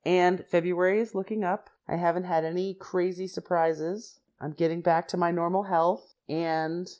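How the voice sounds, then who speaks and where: A woman hosting her own podcast, chatting casually and rambling with asides, her voice a little raspy and congested from a recent flu. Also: lowish voice, steady tone, moderate pace, a little mellow. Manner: chatty and rambling, many "like" and "you know" asides. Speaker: podcast host.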